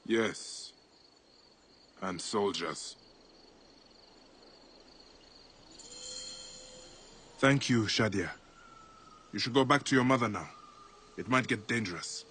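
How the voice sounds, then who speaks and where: An actor playing a grave, determined protector in a video game, speaking in an earnest, measured way. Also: male voice, lowish voice, animated, sharp tone, husky, full character voice. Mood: calm, protective. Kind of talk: video game cinematic acting.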